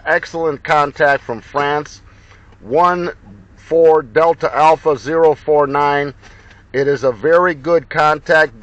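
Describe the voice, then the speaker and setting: A man from Ohio, a mobile radio operator in his car, talking in crisp radio procedure and spelling out call signs. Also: fairly high pitch. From Ohio, USA. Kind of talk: radio contact exchange. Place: inside a car. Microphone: handheld radio mic.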